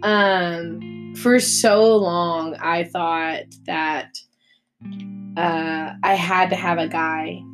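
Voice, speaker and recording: Earnest young woman, lowish voice, halting. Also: fairly even intonation, over music. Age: 20s. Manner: earnest, halting, many fillers and restarts. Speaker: podcast co-host. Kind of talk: casual faith podcast chat.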